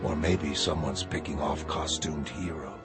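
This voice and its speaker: Very deep, grim, gravelly masked vigilante. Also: male voice, unhurried. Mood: grim, deadpan. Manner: terse clipped fragments, deadpan. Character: grim male masked vigilante, cold and bitter. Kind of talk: dark noir motion-comic narration and dialogue. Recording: loud music under the voice. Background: loud music.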